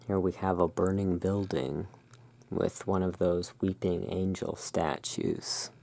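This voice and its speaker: A low-pitched, gravelly ASMR voice that moves very slowly through its descriptions. The delivery stays level and hushed, as a roleplaying shopkeeper muses over artwork. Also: steady tone. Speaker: host of a roleplay as a curiosity emporium owner. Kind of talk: ASMR roleplay, describing tarot card art.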